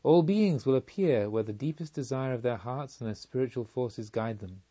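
A man voicing a serene, wise woman disciple of the Buddha in a calm, solemn, teaching manner for an audiobook. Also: mid-range voice, moderate pace, lo-fi sound, lightly acted. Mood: serene, assured.

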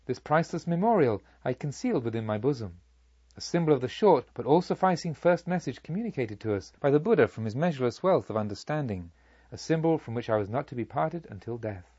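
Husky, expressive male audiobook narrator. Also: medium pitch, no room sound, muffled recording. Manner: formal, deliberate storytelling. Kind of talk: expressive scripted literary narration.

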